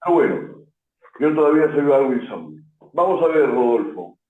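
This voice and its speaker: A man's voice: mid-range voice, big pitch swings, normal speed. A man hosting a radio interview, asking long, winding questions. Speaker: host. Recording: tinny phone-like line in an echoey room.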